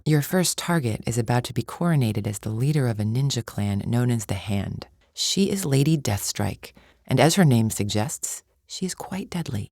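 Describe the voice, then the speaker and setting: A woman's voice: a bass voice, a little mellow, dry recording, clean studio sound, exaggerated voice. A woman voicing a haughty, contemptuous alien warrior champion in a video game, with cold, formal, imperious diction. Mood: scornful briefing. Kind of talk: scripted video game character acting.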